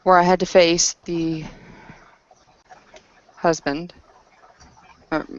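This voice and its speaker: A woman playing a battle-worn werewolf woman who speaks in a terse, grave, halting storytelling manner in a tabletop roleplay. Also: fairly low pitch, little pitch movement, no room sound, highs cut off. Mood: grim, halting.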